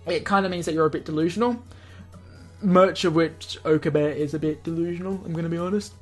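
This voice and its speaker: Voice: mid-range voice, steady tone, fairly quick, soft background music. A person reading a visual novel aloud in a let's play, stumbling over lines now and then and adding off-the-cuff joking asides.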